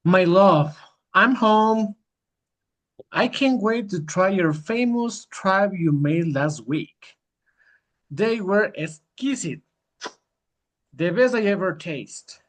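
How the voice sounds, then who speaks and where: Doting husband for amateur drama, male actor: high voice, unhurried, eager and exclaiming. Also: animated. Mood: eager, adoring. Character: Manuel, doting husband eager for his wife's cooking.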